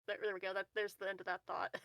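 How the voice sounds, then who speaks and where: A woman's voice: mid-range voice, little pitch movement, fairly bright tone, no echo, studio quality. A woman chatting casually as a player in an online tabletop game, hesitantly talking through her moves. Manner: hesitant, halting, self-narrating moves. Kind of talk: casual chat during online game play. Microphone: close desk mic on a voice call.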